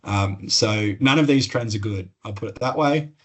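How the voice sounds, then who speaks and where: An Australian man with a fairly low voice presents an online seminar as an expert. He explains things in plain, informal language, feeling his way through sentences with some hesitation. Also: normal speed. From northern New South Wales, Australia. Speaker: climate expert presenting a webinar. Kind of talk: explanatory webinar presentation with slides.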